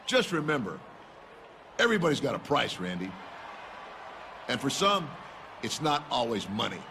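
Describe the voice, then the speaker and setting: An aging wrestling legend talks down to a younger man. His delivery is deliberate, his pitch moving freely. Clear background noise runs behind him. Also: sing-song. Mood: condescending. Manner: condescending, slow and deliberate. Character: veteran male wrestling legend, condescending and knowing.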